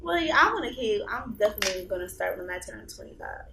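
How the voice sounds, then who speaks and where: A woman's voice: medium pitch, big pitch swings, quick pace, gravelly, bright, forceful tone. A woman around twenty, a student, speaking bluntly and with animation in a casual chat. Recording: roomy room, distant mic, noise.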